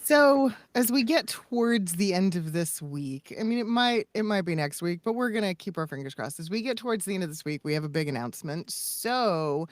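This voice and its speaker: Woman, lowish voice, dry recording, podcast co-host, casual explaining, measured emphatic phrasing. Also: animated, dry recording.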